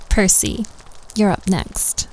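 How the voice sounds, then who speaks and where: A woman playing an excitable friend who leads a round of campfire stories in an audio roleplay. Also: medium pitch, drawn-out words, full character voice, rough recording. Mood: eager.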